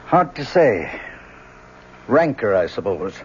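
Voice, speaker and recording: A man's voice: lowish voice, very animated, slow pace. An actor playing a weary, genteel older professor and father in an old-time radio drama, hesitant and donnish in his phrasing. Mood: uneasy. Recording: muffled, noisy old radio recording.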